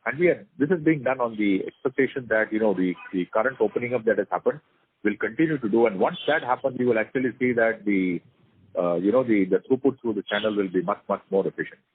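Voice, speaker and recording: A man's voice: fairly low pitch, quick pace, slight background noise. An Indian man speaking as a company executive, giving informal, unscripted business answers on a call. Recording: dry, narrow conference phone line.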